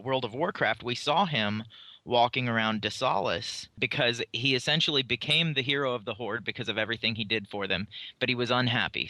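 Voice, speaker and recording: A man co-hosting a podcast, explaining fantasy game lore off the cuff and sometimes pausing to think aloud. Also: medium pitch, bright, forceful tone, dry recording. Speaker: podcast co-host.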